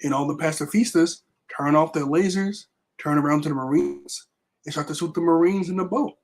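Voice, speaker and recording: A young man with a raspy voice left over from being sick, casually recapping a manga chapter with lots of fillers. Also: on the high side, fairly quick. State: raspy voice recovering from illness. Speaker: manga review vlogger. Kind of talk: casual chat.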